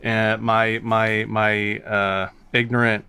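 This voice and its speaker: An American man hosting a reaction video, talking off the cuff in an animated, exclamatory, halting and rambling way. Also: on the low side, clear, resonant tone. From United States. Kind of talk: casual, off-the-cuff reaction commentary. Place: studio.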